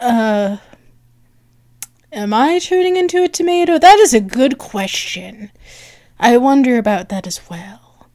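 A woman with a high voice speaks slowly in an intimate ASMR roleplay. She is bashful and halting, with soft affection in her delivery. The sound is close and dry, with no room around her. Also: expressive, dry recording. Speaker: audio roleplay performer.

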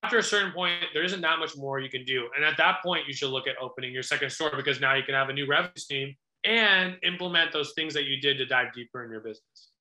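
A man's voice: mid-range voice, quick pace, hardly any pauses, sharp tone. An American man in his thirties answering questions conversationally as a guest restaurateur on a video call. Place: small indoor office. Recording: echoey room on video call.

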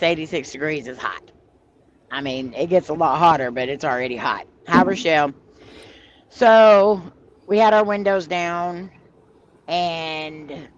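Woman, chatty storyteller, animated, low voice, muffled call audio. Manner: chatty, animated, laughs while telling stories. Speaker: host of a paranormal live stream. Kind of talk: casual storytelling chat. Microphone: video call.

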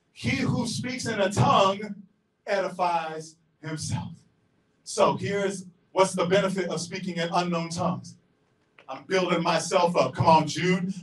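A middle-aged man with a Southern US accent preaching a sermon as pastor, pacing the stage and swinging between emphatic shouts and quiet asides. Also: sits high. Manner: paces and gestures across the stage while preaching. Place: large church auditorium. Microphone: handheld mic, filmed from far back. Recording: echoey hall from far off.

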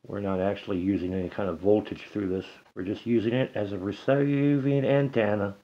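A man's voice: fairly low pitch, steady tone, brisk, dull audio. Chatty man narrating a home repair demo. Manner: chatty, talks to himself and the radio while working. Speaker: hobbyist demonstrating a radio antenna build. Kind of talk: casual how-to narration. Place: home, indoors at a table.

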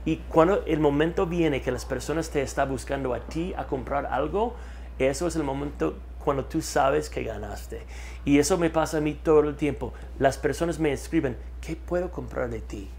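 A man's voice: fairly high pitch, normal speed, creaky, a little hiss or hum. A man, talking in an interview as a marketing consultant, repeating key words for emphasis, on a lapel mic in a studio. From United States. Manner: repeats words for emphasis, animated hand gestures. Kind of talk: energetic interview talk. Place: studio with map backdrop.

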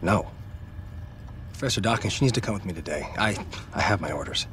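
A man's voice: low-pitched, animated, brisk, fairly bright tone, slight background noise. A man playing a blunt, impatient government agent in a video game drama, stern and insistent. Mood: firm. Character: hard-nosed, impatient male government agent.

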